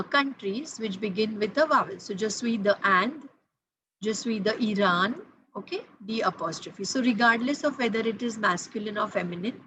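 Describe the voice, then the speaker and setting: A woman's voice: on the high side, pushed and bright, a little hiss or hum, low-quality recording. A woman, teaching French grammar patiently, repeating key points and spelling out letters. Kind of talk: explaining grammar to students.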